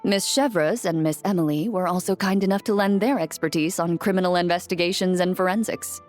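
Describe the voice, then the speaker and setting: A woman's voice: fairly low pitch, fairly quick, darkish tone, soft background music, natural voice. A woman voicing a dry, teasing young woman in a game. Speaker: game character.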